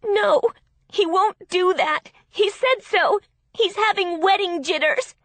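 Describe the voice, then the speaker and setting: A woman's voice: animated, moderate pace, no room sound. A woman voicing a naive, stubborn young bride-to-be, the younger sister, in an emotional, pleading, tearful way for an audiobook. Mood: angry, insistent. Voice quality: high-pitched, tearful.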